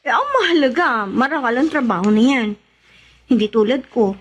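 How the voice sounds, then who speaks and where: An actress playing a young escort, a coy and earnest younger sister, in a radio drama. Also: female voice, on the high side, lively intonation, moderate pace, breathy edge. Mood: earnest, pleading. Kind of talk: Tagalog radio drama acting.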